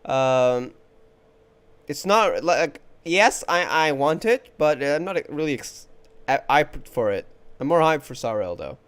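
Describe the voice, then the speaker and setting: Crisp-voiced man streaming a game. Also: fairly high pitch, lively intonation, a little slow, a bit bright. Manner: off-the-cuff, chatty, thinking aloud. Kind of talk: casual live playthrough commentary. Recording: game music behind the voice.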